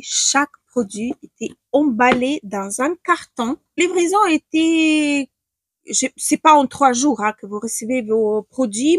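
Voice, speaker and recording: A Ukrainian-accented woman in her forties talks her viewers through a makeup test at home, pausing with fillers as she works. Her voice sits high and a little breathy. The sound is dry and close, with little room echo. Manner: chatty, hesitant with many fillers while applying makeup. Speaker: beauty vlogger. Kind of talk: casual makeup tutorial chat. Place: small room at home, indoors.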